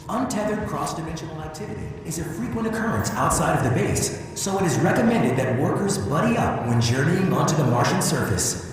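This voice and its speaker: A formal corporate announcement in a video game, promotional in tone and somewhat high-pitched. It rings out from far off with a long hall echo and clear noise. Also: male voice, sing-song, brisk. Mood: advisory. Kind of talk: scripted in-game public announcement. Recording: echoing hall announcement, distant, noisy.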